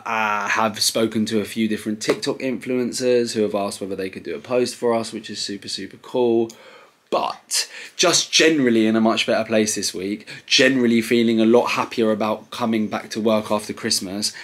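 A young British man in his twenties vlogging casually from his living room sofa, relaxed and rambling with many ums. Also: fairly low pitch. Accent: British English. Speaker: clothing brand owner vlogging. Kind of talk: casual to-camera vlog. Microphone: camera close at sofa level.

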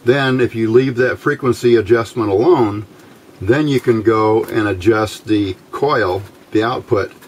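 A middle-aged man giving an informal hands-on tutorial in halting short phrases, pausing often as he explains. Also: lowish voice. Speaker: electronics hobbyist demonstrating a homemade circuit. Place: indoors at a workbench. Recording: steady clear background noise.

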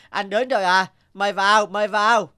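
Earnest cartoon otter. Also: male voice, high voice, fairly even intonation, normal speed, sharp tone, faint music behind. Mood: friendly. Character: honest, good-natured otter, later quietly vengeful. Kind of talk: children's cartoon voice acting.

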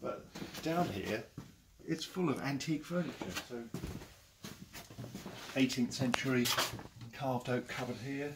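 A man's voice: medium pitch, a little slow, long pauses. A man in his forties with an English accent, an auctioneer chatting enthusiastically as he walks a camera round a large cluttered saleroom. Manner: chatty and enthusiastic, chuckling often. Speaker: auctioneer giving a saleroom tour. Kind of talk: casual walk-through commentary. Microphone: handheld camera following him. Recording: noisy saleroom, walking camera mic.

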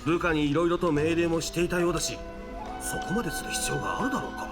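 A man's voice: on the high side, wildly swooping pitch, slow, music in the background, heavily acted. A man voicing a curious, doubtful townsman gossiping in an anime game.

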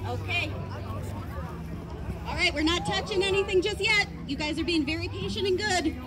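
A woman running a kids' game at an outdoor event, giving encouraging instructions loud enough for a group to hear. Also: very high-pitched, normal speed. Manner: encouraging, upbeat, loud to be heard. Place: outdoor park field with a crowd of children. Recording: crowd and outdoor noise, phone camera. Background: crowd of children and outdoor noise.

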